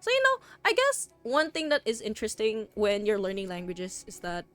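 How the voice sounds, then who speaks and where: A woman's voice: medium pitch, wildly swooping pitch, moderate pace, fairly bright tone. A young woman from the Philippines, chatting casually on a stream and rambling with fillers and restarts.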